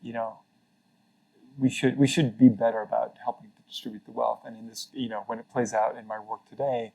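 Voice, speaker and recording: A man's voice: mid-range voice, fairly even intonation, a little fast, gravelly, warm tone, poor audio. A middle-aged man from Mexico, speaking earnestly and reflectively as an interview guest, with frequent fillers and restarts. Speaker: interview guest, former carbon registry CEO. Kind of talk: casual conversational interview.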